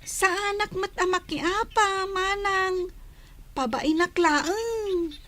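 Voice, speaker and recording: Very high-pitched feisty little schoolgirl. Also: takes it slowly, fairly bright tone, exaggerated voice. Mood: defiant. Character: feisty, combative young schoolgirl, quick to retort. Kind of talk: Filipino radio drama acting.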